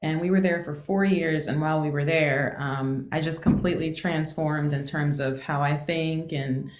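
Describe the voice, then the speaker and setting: American woman, congregation member sharing her story casually; very low, fairly even pitch, roomy echo. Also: normal speed, a little hiss or hum. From East Coast United States. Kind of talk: casual personal testimony.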